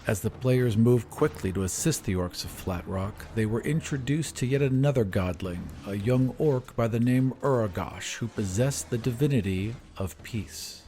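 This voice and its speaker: A man's voice: medium pitch, normal speed, few pauses, warm tone. A man delivering scripted story narration as the recap narrator of a fantasy tale. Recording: faint ambient noise behind narration.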